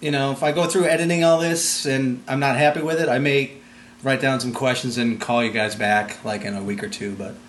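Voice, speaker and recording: A man with a medium-pitched voice works as an interviewer, speaking casually. His phrasing rambles and halts as he goes. The room sounds echoey, with some faint noise behind him. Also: steady tone, a little fast. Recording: roomy echo, background noise.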